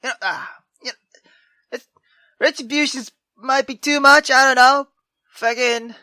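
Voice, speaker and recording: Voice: high voice, steady tone, a little slow, sharp tone, no echo. A game player giving casual let's play commentary, hesitant and rambling, with swearing and music behind the voice. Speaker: gaming video host.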